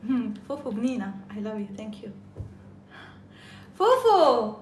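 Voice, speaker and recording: A woman's voice: on the high side, sing-song, slow, echoey room, heavy background noise. A woman with an Algerian accent chatting casually on a live stream, jumping between topics and mixing in French and English words.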